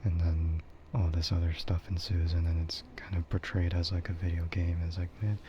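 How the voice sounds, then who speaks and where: Male streamer, deep and unhurried, for soft, meandering ASMR chat; dull lo-fi sound. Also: flat delivery. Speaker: VTuber streamer. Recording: dull lo-fi microphone with faint noise.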